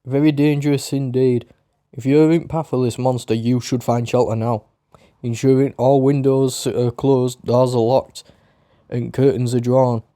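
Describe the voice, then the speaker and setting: A man acts as a male rock radio host in a radio drama. His voice is mellow and sits at a medium pitch, recorded dry and close. He presents the news casually, with urgency about danger. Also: flat delivery, a little slow, no echo, lightly acted. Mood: grave, urgent.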